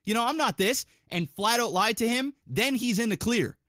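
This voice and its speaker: A man talking as a podcast host, giving a casual, opinionated monologue in an animated, rambling, profanity-heavy and argumentative way. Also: extremely high pitch, moderate pace, dry recording, studio quality.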